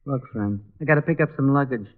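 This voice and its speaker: A man's voice: mid-range voice, quick pace, narrow, tinny audio, lightly acted. An actor playing a brusque working-class pickup man in an old radio drama, blunt and slangy. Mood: impatient. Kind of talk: 1950s radio detective drama acting. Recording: thin, band-limited old radio recording.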